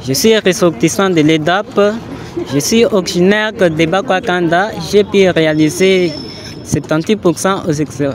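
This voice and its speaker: A teenage boy, a top exam student, answering interview questions in an earnest, measured way. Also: high voice, crowd noise. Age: late teens.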